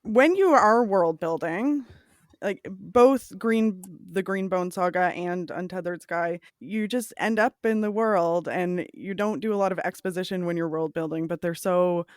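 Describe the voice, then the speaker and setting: A woman's voice: fairly low pitch, unhurried, no echo, studio quality. A woman with a Canadian accent, hosting a conversational podcast interview.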